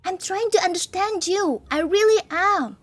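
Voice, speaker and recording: A woman voicing a stern, duty-bound older sister who lectures earnestly. The voice sits high and bright, and faint music plays behind it. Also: animated, normal speed. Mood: reproachful. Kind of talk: reading visual novel lines aloud.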